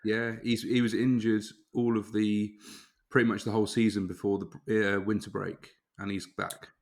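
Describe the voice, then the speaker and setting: Low, flat, matter-of-fact man. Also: normal speed. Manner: steady, matter-of-fact, listing picks. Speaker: podcast co-host. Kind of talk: casual chat.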